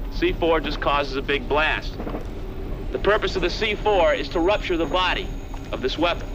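Military instructor, noisy outdoor recording. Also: male voice, a little high. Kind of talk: instructional demonstration to troops.

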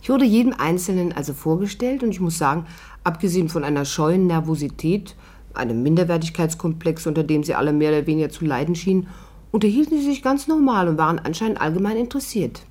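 A woman's voice: on the low side, lively intonation, quick pace, darkish tone. An actress playing a dignified older woman who narrates her own adventure in a composed storytelling manner for a 1950s radio play. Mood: composed, intrigued.

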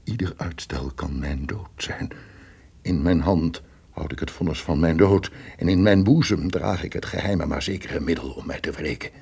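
Very deep, gravelly scheming conspirator. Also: male voice, moderate pace, darkish tone, heavily acted. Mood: vengeful. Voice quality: gravelly, husky. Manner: formal, theatrical, breaking into tense muttering. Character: scheming, suspicious male conspirator feigning loyal friendship. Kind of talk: Dutch gothic radio drama acting.

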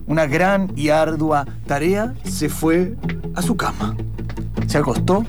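A man's voice: a little high, expressive, slow. An Argentine man narrating improvised radio theatre dramatically, repeating phrases for effect in speech and song. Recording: loud guitar music behind the voice.

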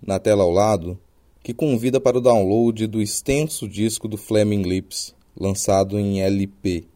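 A man's voice: lowish voice, mellow. A man with a Brazilian accent, hosting a podcast with scripted, literary narration. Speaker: podcast host.

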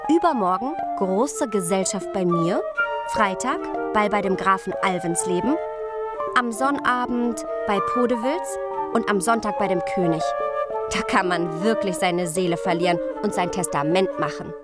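A woman's voice: mid-range voice, normal speed, close to an everyday voice. A woman playing a young, heartfelt princess reading her own letters aloud in a radio play, with music behind. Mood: playfully exhausted.

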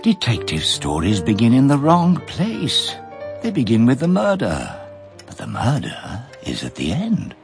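An actor playing an elderly male judge in a radio drama, speaking in a measured, precise, ominous way. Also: medium pitch, wildly swooping pitch, gravelly, over music, exaggerated voice. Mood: brooding. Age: older. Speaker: retired judge.

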